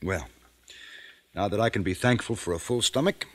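A middle-aged man playing a genial, gently teasing father in an old radio drama, speaking in a paternal, unhurried way. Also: fairly low pitch, close to an everyday voice. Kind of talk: 1940s radio drama acting.

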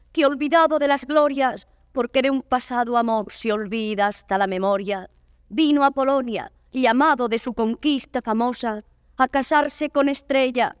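A wronged young noblewoman who pleads for her honor with pride and passion. Her high female voice is very smooth and resonant. She declaims stage verse, recorded dry and close. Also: no echo. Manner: declamatory, impassioned. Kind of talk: classical Spanish verse stage acting.

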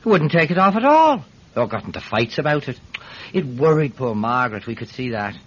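An actor playing an eager Irish peat seller testifying in court in a classic radio drama, hesitant, stammering and forever digressing. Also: male voice, mid-range voice, very animated, brisk, husky. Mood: flustered, earnest. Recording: muffled, hissy vintage radio recording.

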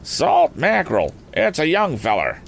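A man voicing an excitable, suspicious country farmer with folksy, exclamatory talk in a storybook reading. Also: on the high side, normal speed, gravelly, a little hiss or hum, pitched above the performer's own voice, full character voice. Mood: curious, blunt. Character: excitable rustic old farmer, tall, suspicious then eager.

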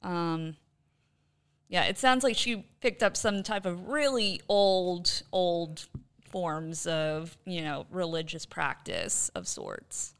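A woman with a slightly low, smooth and resonant voice. She chats as a podcast co-host in a casual discussion. She muses aloud, working her ideas out as she goes. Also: expressive, slow. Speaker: podcast co-host, quiz contestant.

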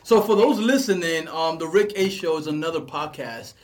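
A man's voice: on the high side, normal speed. A man hosting a casual podcast, bantering in slangy talk and repeating phrases for emphasis. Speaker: podcast host. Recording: busy crowd chatter behind. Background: crowd of other voices.

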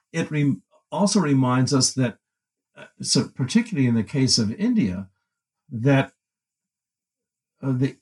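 A man answering questions about an edited book in a halting, thoughtful way, with many fillers and restarts. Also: mid-range voice, a little mellow, breathy edge, rough recording. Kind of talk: academic interview answer.

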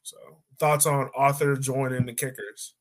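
A man with a medium-pitched voice hosts a casual sports discussion. He talks conversationally and offers his own opinions as he goes. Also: little pitch movement, measured pace. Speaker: podcast host.

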